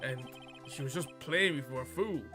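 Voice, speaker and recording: A man voicing a melodramatic, heartbroken young man from a game, moping in a whiny, drawn-out way. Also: medium pitch, natural voice. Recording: music under the voice.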